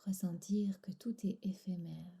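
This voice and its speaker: A woman guiding a relaxation meditation in a soft, breathy, soothing voice, pausing between short phrases. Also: lowish voice, steady tone. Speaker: yoga nidra instructor.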